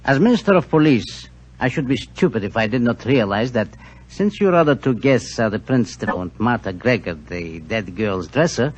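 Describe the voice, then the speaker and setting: An actor playing a stern police minister in a 1940s radio drama. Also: male voice, medium pitch, lively intonation, moderate pace, lightly acted, poor audio. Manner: stern, official.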